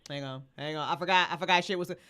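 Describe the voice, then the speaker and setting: A woman's voice: sits low, racing, bright, forceful tone, smooth. A woman hosting a live stream in a casual, playful, rambling way with plenty of swearing. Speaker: livestream host, podcast co-founder, writer and director. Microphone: streaming setup with webcam and headphones.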